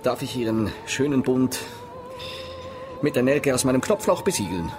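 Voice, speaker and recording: An actor playing a sentimental, lovesick painter in a radio drama, speaking in an earnest, flowery way. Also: male voice, medium pitch, lively intonation, moderate pace, over music, lightly acted. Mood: smitten.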